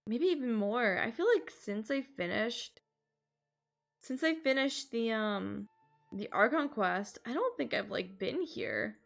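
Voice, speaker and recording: Chatty, creaky-voiced woman streamer. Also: fairly high pitch, expressive, unhurried. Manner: informal, chatty, rambling. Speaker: livestreamer. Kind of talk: casual gaming stream commentary.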